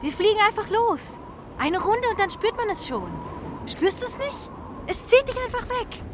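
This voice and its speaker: A woman's voice: very high voice, big pitch swings, moderate pace, noisy background. A woman voicing a cautious, loyal homing pigeon in a children's radio play, earnest and often urgent. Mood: determined. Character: cautious, loyal female homing pigeon, anxious but determined.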